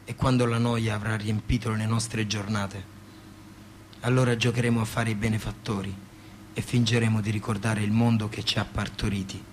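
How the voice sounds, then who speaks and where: A man's voice: on the low side, flat delivery, normal speed, slight background noise, natural voice. An actor playing a weary, devoted young working man in a poetic stage drama. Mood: solemn, tender. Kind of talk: poetic stage-theatre monologue.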